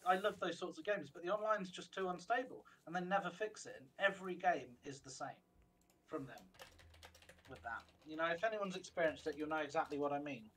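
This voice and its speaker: A man's voice: a little high, fairly quick, fairly bright tone, poor audio. A man, co-hosting a gaming podcast in rambling, opinionated casual chat. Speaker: podcast co-host.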